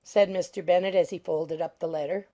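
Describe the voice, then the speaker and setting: A woman's voice: on the low side, steady tone, brisk, warm tone, lo-fi sound. A woman narrating a classic novel as a scripted audiobook.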